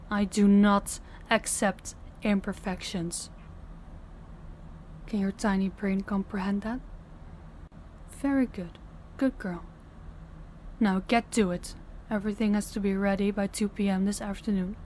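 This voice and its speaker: A woman's voice: medium pitch, a little mellow, slight background noise, close to an everyday voice. A woman playing a dominant mafia boss in an audio roleplay, stern and condescending, giving orders and patronizing praise. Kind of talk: audio roleplay addressed to the listener.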